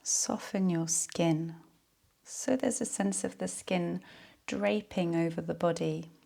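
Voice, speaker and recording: Woman doing guided meditation, really slow n soft, bit of creaky fry in her voice. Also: fairly low pitch. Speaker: yoga nidra teacher.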